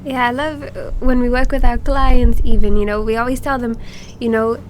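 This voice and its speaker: A woman with a fairly high voice chats as a coaching co-host, affirming and sprinkling in "you know". Clear background noise runs through her recording. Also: level tone, moderate pace. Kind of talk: casual coaching chat.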